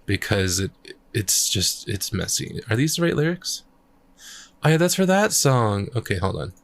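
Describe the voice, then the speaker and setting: A man chatting casually on a live stream, thinking out loud as he works on his music, his voice a little croaky. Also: lowish voice, big pitch swings, moderate pace. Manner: rambling, thinks aloud while working. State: slightly croaky voice. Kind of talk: casual livestream chat.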